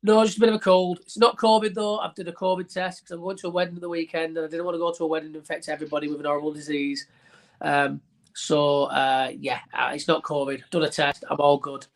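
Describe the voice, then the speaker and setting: Gravelly Bolton man with a stuffy nose. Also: a little high, little pitch movement, fast talker. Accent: Bolton, northern English. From Bolton, England. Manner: chatty, rambling, repeats words when starting sentences. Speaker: wrestling ring announcer and radio host, guest. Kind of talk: casual podcast interview chat. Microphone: online call.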